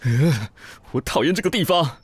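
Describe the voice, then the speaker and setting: A man's voice: fairly high pitch, animated, moderate pace, natural voice. Male actor as snobbish, theatrical young-sounding radio-drama boss, petulant complaints, slight noise and clicks on the recording. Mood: sullen, disdainful. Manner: melodramatic, petulant. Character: fussy, dramatic male magazine boss who despises ugliness.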